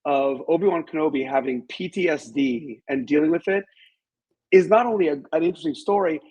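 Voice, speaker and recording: Male interview guest: slightly high, husky voice, careful hedged delivery, pausing to think. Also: a little mellow, poor audio. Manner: measured, hedging, halting while thinking aloud. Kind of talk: reflective interview conversation about films.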